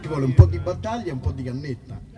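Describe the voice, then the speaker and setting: A man's voice: a little high, quick pace. A man with an Italian accent chatting casually between songs at a concert, rambling and joking with self-deprecating irony. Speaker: musician performing a live concert. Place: outdoor festival stage in a park. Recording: live open-air concert, distant mic, music. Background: acoustic guitar music.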